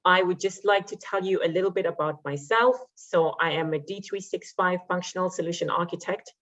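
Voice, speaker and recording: A woman's voice: fairly low pitch, fairly quick, a bit bright. A woman from Zimbabwe giving a conference presentation as a solution architect, conversational with frequent fillers. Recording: muffled lo-fi audio.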